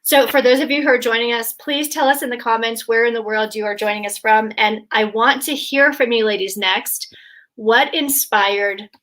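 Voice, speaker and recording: A woman's voice: a little high, steady tone, normal speed, a bit bright, rough recording. A woman hosting a casual video call panel from home, speaking with enthusiastic praise for her guests. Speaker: host.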